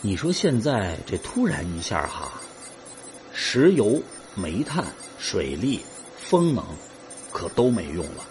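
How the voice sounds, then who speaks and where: An actor playing a boastful, defensive man bickering with a friend, husky and colloquial, in a sci-fi audio drama. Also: fairly low pitch, wildly swooping pitch, slow pace, darkish tone, a little hiss or hum, full character voice. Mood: expansive, boastful. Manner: colloquial, grumbling, exasperated.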